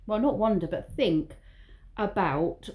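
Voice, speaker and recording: A woman chats to her viewers in an easygoing vlog, drifting from one thought to the next. Her voice is mellow and warm. She talks in a loose, rambling way and admits when she is waffling. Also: medium pitch, expressive, takes it slowly. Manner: rambling, chatty, self-aware waffling. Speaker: vlogger. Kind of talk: casual vlog monologue.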